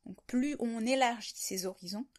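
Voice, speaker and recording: A woman's voice: on the high side, moderate pace, a little mellow. A woman talking to camera in an unscripted monologue, reflecting on her ideas and rephrasing them as she goes. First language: French. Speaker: video host sharing language-learning reflections.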